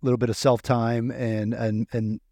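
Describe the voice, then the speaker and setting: A man speaks as a podcast co-host in an easygoing, conversational style. His pitch is mid-range, and the sound is dry and close, with no room echo. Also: little pitch movement, normal speed, dark tone. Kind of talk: casual podcast chat.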